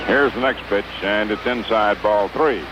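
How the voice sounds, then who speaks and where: An American man calling baseball play-by-play for 1950s radio, in measured, clipped phrases with pauses between them. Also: on the low side. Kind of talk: 1950s radio sports commentary. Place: ballpark broadcast booth. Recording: noisy, dull vintage 1950s radio broadcast.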